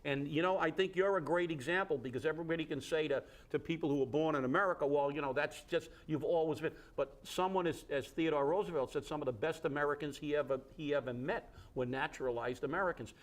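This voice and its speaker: A man hosting a local talk show, speaking haltingly with many fillers and restarts. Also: on the high side. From Fall River, Massachusetts. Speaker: host.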